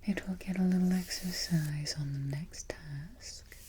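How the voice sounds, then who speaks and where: A woman playing a prim, haughty evil queen in a soft, whispered ASMR roleplay, slow and politely imperious, recorded close to the microphone. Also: lowish voice, steady tone, a little hiss or hum, lightly acted. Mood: composed, exacting. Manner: unhurried, exacting, politely imperious. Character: prim, haughty evil queen instructing her new helper.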